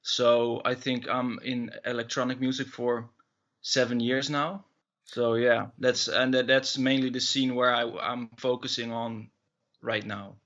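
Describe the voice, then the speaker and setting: Hesitant Dutch-accented man, bright tone. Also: mid-range voice, level tone, measured pace, dull audio. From Netherlands. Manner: hesitant, many fillers and restarts. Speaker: musician guest. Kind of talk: casual interview answers.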